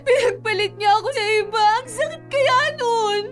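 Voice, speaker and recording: A woman's voice: very high-pitched, animated, moderate pace. A woman playing a sensitive, heartbroken teenage younger sister in a radio drama, plaintive yet polite. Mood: tearful, hurt. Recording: clear music behind the voice.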